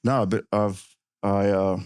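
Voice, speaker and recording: A man from Ohio who is a podcast guest telling his life story. He sounds a little nervous and speaks in a halting way, restarting his sentences often. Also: fairly low pitch, steady tone, a little mellow, clear, resonant tone, dry recording, studio quality. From Ohio, USA. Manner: hesitant and halting, with many restarts.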